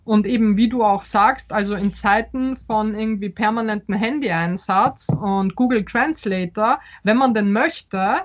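A woman's voice: mid-range voice, a little slow, few pauses, highs cut off. A woman who grew up speaking Polish first, an author answering interview questions thoughtfully, with frequent fillers and restarts.

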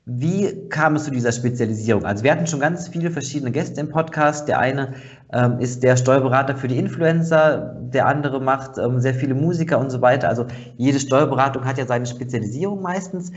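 A man's voice: mid-range voice, brisk, highs cut off. A man hosting a podcast, asking chatty conversational questions with fillers. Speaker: podcast host. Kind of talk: podcast interview.

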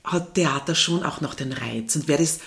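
A woman interviewing a guest casually, attentive and quick to affirm. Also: deep voice, a bit bright. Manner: attentive, many affirming murmurs.

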